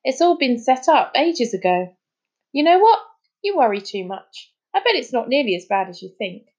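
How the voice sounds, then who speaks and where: A woman's voice: high-pitched, very animated, moderate pace, a little mellow, pitched above the performer's own voice, full character voice. Woman voicing a breezy, dismissive celebrity man. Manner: chatty, clipped casual phrasing. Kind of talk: audiobook character dialogue.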